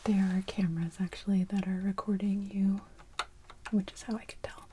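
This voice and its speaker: A woman in her thirties speaking in a soft, breathy whisper, giving calm, gentle instructions as a medical technician in an ASMR roleplay, recorded close. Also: mid-range voice, normal speed, slight background noise. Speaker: ASMR performer playing an EEG technician. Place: small indoor room dressed as hospital bay.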